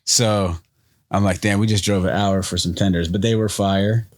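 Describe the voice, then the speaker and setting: A young man co-hosting a podcast in casual chat, energetic and storytelling, often laughing. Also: lowish voice, moderate pace. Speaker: podcast co-host.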